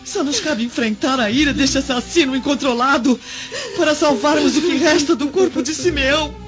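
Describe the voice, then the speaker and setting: A woman's voice: high voice, moderate pace, natural voice. An actress playing a noble, devout Roman lady in a radio drama, with earnest, emotive and formal delivery. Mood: grave resolve. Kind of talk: melodramatic radio drama acting. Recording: muffled radio drama, loud music.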